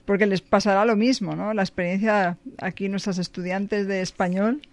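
A middle-aged woman from Spain speaks with a Spanish accent, even-pitched and easygoing. She talks in a relaxed group conversation, thinking aloud and often tagging her points with a questioning "¿no?". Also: normal speed. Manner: reflective, frequent "¿no?" and "ujú". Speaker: Spanish teacher. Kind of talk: casual panel chat.

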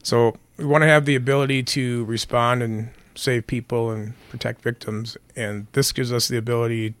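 Hoarse Midwestern lawman, plainspoken. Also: medium pitch, steady tone, moderate pace, a bit bright, dry recording. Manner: plainspoken, many fillers. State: hoarse from being sick. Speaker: county sheriff guest. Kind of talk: casual interview answers.

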